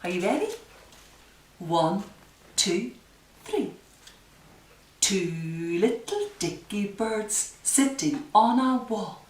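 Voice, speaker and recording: A woman's voice: medium pitch, lively intonation, drawn-out words, long pauses, a little mellow. A woman leading a nursery rhyme singalong for small children, giving simple instructions and encouragement. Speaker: library story time leader. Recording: echoey room, distant microphone.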